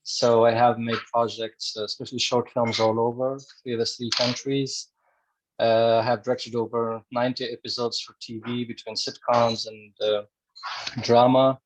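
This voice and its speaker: A man's voice: medium pitch, little pitch movement, normal speed, low-quality recording. A man from Morocco, a screenwriter and director, introducing himself on a video call. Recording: faint noise on video call.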